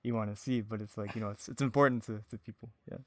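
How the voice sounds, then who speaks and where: A man's voice: lowish voice, fairly even intonation, fairly bright tone, dry recording, clean studio sound. A man as a podcast guest, chatting casually in an agreeable, easygoing manner. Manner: agreeable, quick to chuckle.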